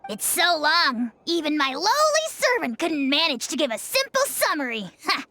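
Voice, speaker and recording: A woman's voice: high voice, sing-song, moderate pace, sharp tone, no room sound, full character voice. A woman voicing a tiny, haughty, childlike creature in a video game.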